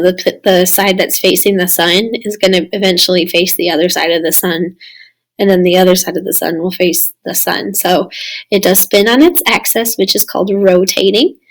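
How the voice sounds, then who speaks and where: A woman with a slightly raspy voice, recovering from losing it, giving a casual recorded slideshow lesson as a science teacher. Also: medium pitch. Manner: casual, explanatory, addressing students. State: recovering from lost voice.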